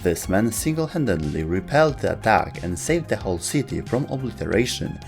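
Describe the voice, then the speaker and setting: Male explainer narrator, lower register, animated delivery, scripted read over a music bed. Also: brisk, gravelly. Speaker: narrator of a video game lore explainer. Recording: music under the voice.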